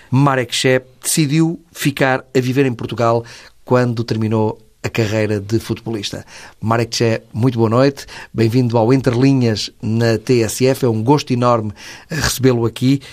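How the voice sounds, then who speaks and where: Man hosting a radio interview. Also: mid-range voice.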